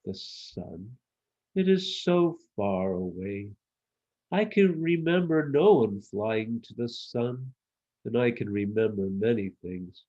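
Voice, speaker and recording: A man's voice: fairly low pitch, lively intonation, takes it slowly, dark tone, dull audio. A man voicing a wistful, reflective moon elephant in a children's storybook. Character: huge, sad, wistful moon elephant with long memory.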